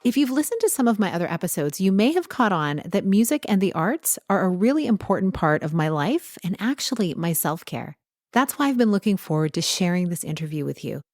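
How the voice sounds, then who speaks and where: Woman host reading a guest intro, steady and scripted, super dry close audio. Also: on the low side, a little fast, no echo, soft background music. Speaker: podcast host.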